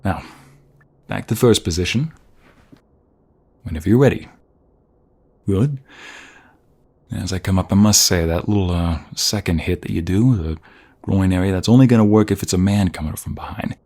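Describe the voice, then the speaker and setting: Guy doing a tender tough mobster husband for asmr roleplay, low gravelly voice, flirty and protective. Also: moderate pace, pauses often, darkish tone. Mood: patient, instructing. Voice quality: gravelly, husky. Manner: affectionate, coaxing, speaks straight to a partner.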